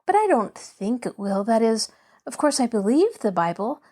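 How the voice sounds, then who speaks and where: Woman voicing an eager, high-pitched chattering little girl. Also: very animated, normal speed, a little mellow. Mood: earnest. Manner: eager, rambling, artless. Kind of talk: children's storybook character voice.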